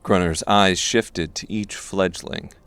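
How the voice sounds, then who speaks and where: A man reading scripted dark fantasy narration as an audiobook narrator. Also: low voice, steady tone, takes it slowly, clean tone, no room sound.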